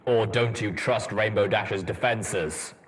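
A man voicing a calm, stern armored male pony who speaks in a level, commanding way, louder than the narration. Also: fairly low pitch, moderate pace, crowd noise, natural voice.

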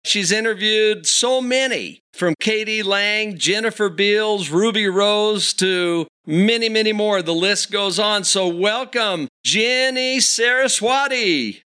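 A man's voice: high-pitched, slow, pushed and bright, clear, resonant tone. A man hosting a podcast interview, enthusiastic as he introduces his guest. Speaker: podcast host.

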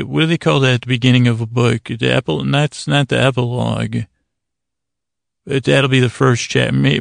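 A man with a creaky voice hosting a bedtime podcast, talking in a soothing, meandering monologue with halting pauses and digressions. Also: mid-range voice, no room sound, studio quality. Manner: soothing, lulling delivery. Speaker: podcast host.

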